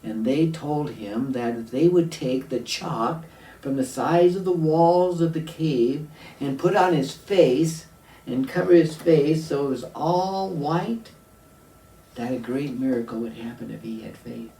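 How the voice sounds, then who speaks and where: A man tells a folktale to children at an unhurried pace, pausing for effect. His voice sits in an echoey room, some way from the microphone, with faint noise behind it. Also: mid-range voice, warm tone. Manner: deliberate, with dramatic pauses and questions to the listener. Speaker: storyteller. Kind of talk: oral storytelling to children. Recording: roomy echo, distant mic, faint noise.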